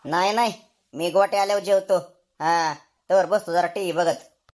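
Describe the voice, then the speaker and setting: A woman's voice: fairly low pitch, fairly even intonation, normal speed, darkish tone, natural voice. A woman voicing a boastful, shameless, freeloading drunkard brother-in-law with a whining manner in a comedy cartoon.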